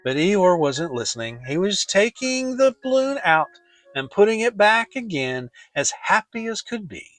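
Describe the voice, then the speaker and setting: Dramatic storybook narrator, male, expressive and deliberate, lively melody in the voice, faint musical bed. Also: high-pitched, faint music behind. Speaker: storyteller and channel host.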